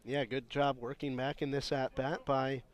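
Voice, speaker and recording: A man's voice: mid-range voice, flat delivery, normal speed. A man giving conversational live radio analysis as a baseball color commentator.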